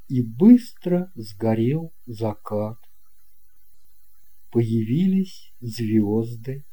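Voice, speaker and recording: Very slow, mellow male storyteller. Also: medium pitch. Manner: deliberate, short measured phrases. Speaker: audiobook narrator. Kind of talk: scripted storybook narration.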